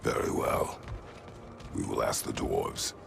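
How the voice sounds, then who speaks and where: An actor playing a stoic, grim middle-aged Greek warrior in a video game, speaking in terse, solemn, formal phrases. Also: male voice, slow, soft background music, heavily acted. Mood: cold resolve. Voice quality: very deep gravelly voice. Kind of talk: dramatic video game acting.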